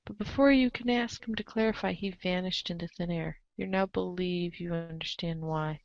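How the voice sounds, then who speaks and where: A woman narrating a comic story aloud in an expressive read-aloud style, on a slightly dull recording. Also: fairly low pitch, normal speed, vocal fry, no room sound. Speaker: narrator of a group webcomic reading.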